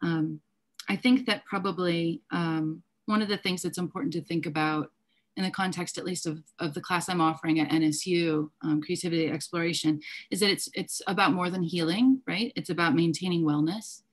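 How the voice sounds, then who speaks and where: Woman teacher, deep voice, earnest panel remarks. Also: fairly even intonation, normal speed. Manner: earnest, fluent with filler ums. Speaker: teacher and class host. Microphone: video call.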